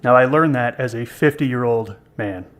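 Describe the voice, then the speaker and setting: A man's voice: medium pitch, normal speed, fairly bright tone. A man in his fifties co-hosting a podcast, chatty and self-deprecating. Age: 50s. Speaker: podcast co-host. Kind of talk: casual podcast conversation.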